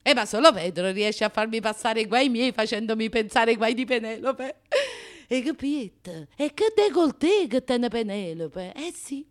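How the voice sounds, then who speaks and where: An actress plays a vain, wounded Neapolitan woman in a comic radio play. Her voice sits very high and carries a Neapolitan accent. She confides in the listener with passionate, self-mocking theatricality and colloquial, emphatic phrasing. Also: lively intonation, normal speed, close to an everyday voice. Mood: flirty, boastful. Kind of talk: radio drama comic monologue.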